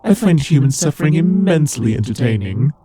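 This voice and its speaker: A man voicing a haughty, teasing demon lord in an ASMR roleplay, imperious and condescending, with a husky voice. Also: on the high side, big pitch swings, a little fast, warm tone, full character voice. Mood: amused, teasing. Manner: imperious, condescending, playfully taunting. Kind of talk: ASMR roleplay audio drama addressed to the listener.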